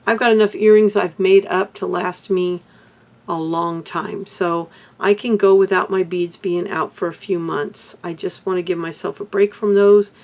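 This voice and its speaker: An older woman from Texas, hoarse, chatting casually to camera in a rambling way about her crafts and life. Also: on the low side, steady tone, normal speed, darkish tone. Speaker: beadwork vlogger.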